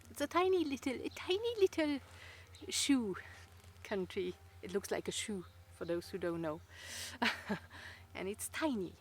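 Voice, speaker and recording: A woman's voice: medium pitch, fairly bright tone, a little hiss or hum. A woman from Luxembourg with a Luxembourgish accent, whose first language is Luxembourgish, chatting casually to camera in a rambling, hesitant way with many fillers and restarts. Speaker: vlogger.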